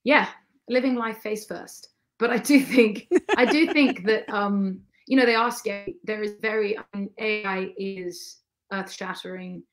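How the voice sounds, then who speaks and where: A hoarse woman in her thirties, a singer-songwriter guest, talking quickly and rambling with many ums from a home studio on a video call. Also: medium pitch. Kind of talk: casual chat on a livestream. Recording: acoustic guitar behind the voice.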